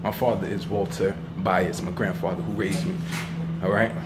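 A man's voice: deep voice, flat delivery, moderate pace, very noisy. A young man talking casually to camera as a vlogger, recorded on a handheld phone at arm's length while out and about. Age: young adult. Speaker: vlogger, actor and filmmaker. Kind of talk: casual vlog talk to camera. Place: on the move in city streets and indoor hallways.

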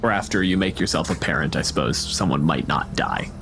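A man's voice: rumbling low, fairly quick, a bit bright, a little hiss or hum. A man running a tabletop role-playing game as game master, narrating with descriptive, dramatic phrasing. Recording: music bed under the voice. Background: background music.

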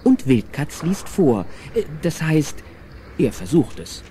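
Ein Mann als Erzähler eines Kinderhörspiels, der mit verspieltem Augenzwinkern vorträgt. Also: male voice, a little high, very animated, slow. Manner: storytelling, playfully wry. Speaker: narrator. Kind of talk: scripted children's audio drama narration. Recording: noisy old cassette audio drama.

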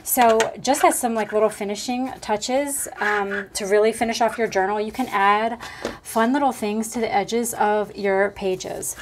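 A woman hosting a casual crafting tutorial at her table, chatty and thinking aloud with lots of fillers, her voice slightly hoarse. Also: mid-range voice, slight background noise.

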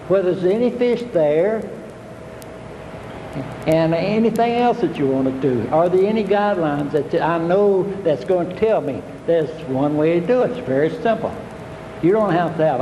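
A man's voice: sits high, mellow, reverberant, in a large hall, a little hiss or hum, poor audio. An older man, giving a plainspoken, homespun fishing talk to an audience, repeating his points. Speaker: fishing instructor. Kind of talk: folksy seminar talk.

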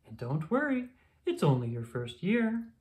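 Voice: medium pitch, big pitch swings, unhurried, a little mellow. A storybook reader voicing a fussy, encouraging groundhog relative giving coaxing advice.

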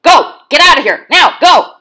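A woman voicing a bossy, hot-tempered zodiac creature in a cartoon who yells and wants to lead. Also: sits high, lively intonation, normal speed. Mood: angry. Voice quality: sharp, impatient, yelling. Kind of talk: cartoon voice acting.

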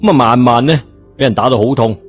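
A man's voice: medium pitch, fast talker. A man narrating a story in a colloquial scripted style, in a dull recording. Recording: dull lo-fi audio, music behind.

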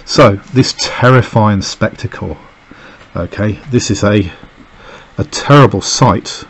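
A man's voice: fairly low pitch, takes it slowly, slightly rough. A man teaching a recorded lesson, explaining an extract with many fillers and "okay"s as he annotates it. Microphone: voice-over over a camera filming a page on a desk.

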